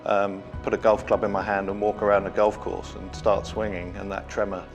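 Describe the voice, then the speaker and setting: A man's voice: on the low side, level tone, brisk, highs cut off, faint music behind. A man in his fifties, a team captain, answering interview questions in a modest, hesitant way. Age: 50s.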